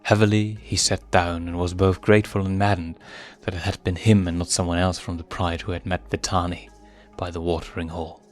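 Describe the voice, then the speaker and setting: A man's voice: low-pitched, level tone, brisk. A man reading fan fiction aloud as dramatic scripted story narration. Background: music.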